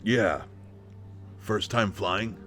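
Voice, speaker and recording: Man acting a deep-voiced, halting country guy. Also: a bit bright, faint music behind. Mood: nervous, reassuring. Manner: hesitant, folksy, halting. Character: protective, nervous country man, plain-spoken and old-fashioned. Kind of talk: intimate roleplay audio drama acting.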